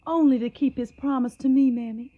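A woman's voice: high voice, a little fast, narrow, tinny audio. An actress playing an earnest, anxious young woman in a radio drama, pleading and hesitant. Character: earnest, anxious young Southern belle in love.

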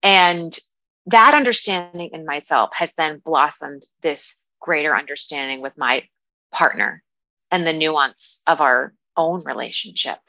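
A woman speaking as a guest coach in a reflective, conversational interview, often halting as she thinks aloud. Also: deep voice, bright, forceful tone, no echo, rough recording. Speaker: podcast guest, dating and relationship coach.

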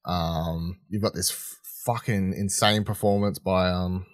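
A man co-hosting a podcast, chatting casually and swearing freely, with a halting, rambling way of talking that often restarts mid-sentence. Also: low voice, steady tone, no echo, clean studio sound. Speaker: podcast co-host reviewing film and TV. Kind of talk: casual unscripted chat.

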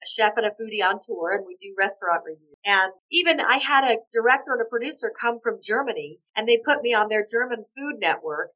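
Guest: hoarse woman in her forties, animated storyteller, fairly high voice. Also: fast talker, few pauses, rough recording. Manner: expressive, laughs often, acts out quotes.

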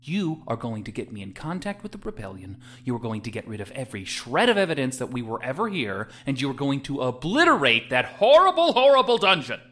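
Sci-fi audio drama hero: exasperated young hothead, sarcastic, forceful, swinging delivery. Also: male voice, mid-range voice, very animated, brisk, few pauses. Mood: threatening. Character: exasperated, hot-headed young male space adventurer, sarcastic.

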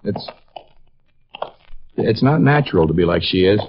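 An actor playing an earnest, bitter, lovesick young student, sullen and intense, in a 1950s radio drama. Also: male voice, low-pitched, animated, unhurried, slight background noise. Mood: worried. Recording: muffled vintage radio recording.

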